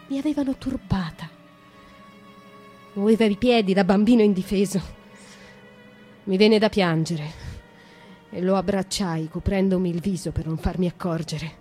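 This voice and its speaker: An actress playing a young, tormented noblewoman who slowly and intimately confesses her past to a nun in a radio monologue. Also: mid-range voice, mellow, lightly acted. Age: young adult.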